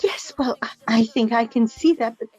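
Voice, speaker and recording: An actress playing a flustered, kindly middle-aged mother in a radio play, hesitant and gently chiding. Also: fairly high pitch, normal speed, slightly rough, soft background music, rough recording.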